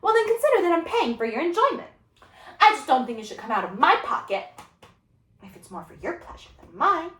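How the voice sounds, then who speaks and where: Roomy recording, a woman acting a brash young female student, high swooping pitch, flippant, slangy, stage monologue. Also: very animated, brisk, a bit bright. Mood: taunting. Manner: sassy, flippant, dramatic. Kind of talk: solo dramatic interpretation performance.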